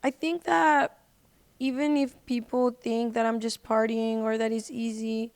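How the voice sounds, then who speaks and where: A woman with a fairly high, very clear and smooth voice talks casually as a podcast guest. Her delivery is earnest and conversational, with little rise and fall in pitch. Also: level tone, measured pace, darkish tone. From United States. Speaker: podcast guest, singer and social media personality.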